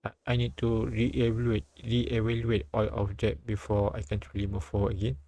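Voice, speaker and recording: A man reading a story and its dialogue aloud as a live streamer, often halting and repeating phrases. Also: fairly low pitch, monotone, warm tone, no room sound. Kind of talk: read-aloud narration and dialogue translation with side comments.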